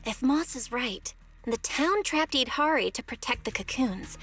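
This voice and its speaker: A woman's voice: high voice, animated, measured pace, fairly bright tone, husky, faint music behind, heavily acted. A woman playing a caring, sensible young woman who leads her group in a video game. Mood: focused.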